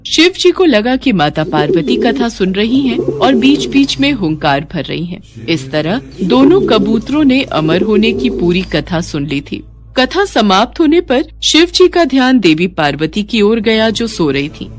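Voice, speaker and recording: Lively female storyteller. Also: a little high, measured pace, noisy background. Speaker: story narrator. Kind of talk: scripted devotional storytelling narration. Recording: faint music bed.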